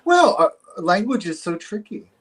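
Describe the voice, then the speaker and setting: A man's voice: sits high, sing-song, measured pace, a bit bright, slightly rough. A man in his forties, a counselor, explaining ideas in a casual conversation with a reflective, hesitant manner. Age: 40s.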